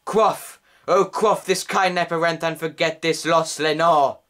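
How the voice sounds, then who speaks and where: A man's voice: a little high, fairly even intonation, a little slow, fairly bright tone, voice pushed up. A man voicing a grief-maddened scholar in a gothic poem, impassioned, pleading and declamatory. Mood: anguished, indignant. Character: grief-maddened scholar shrieking at an ominous bird. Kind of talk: dramatic gothic poetry reading.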